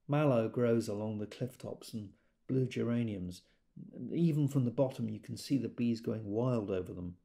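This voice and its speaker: A man's voice: mid-range voice, creaky, mellow, close to an everyday voice. An actor playing a rueful, self-doubting everyman who tells his story in a wry, confiding, fussily precise way, as in an audio drama. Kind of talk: dramatic monologue, audiobook-style first-person storytelling.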